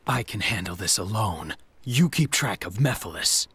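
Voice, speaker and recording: An actor playing a brooding, stubborn hedgehog antihero in a video game, terse and stern. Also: male voice, on the low side, expressive, moderate pace, a bit bright, slightly rough, heavily acted. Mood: cool, resolute. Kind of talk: video game cutscene acting.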